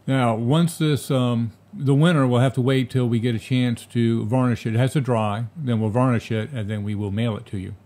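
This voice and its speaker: A man co-hosting a live painting stream, running the timer and giveaway in a relaxed, joking way. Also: medium pitch, normal speed, few pauses. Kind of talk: casual live-stream chat.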